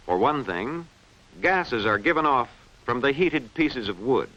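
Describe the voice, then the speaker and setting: Male narrator, for a school science film: forceful, lively intonation, precise and instructive, on a dull old soundtrack. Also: mid-range voice, measured pace. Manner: clearly enunciated, didactic delivery. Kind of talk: scripted educational film narration. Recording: dull, band-limited vintage film soundtrack.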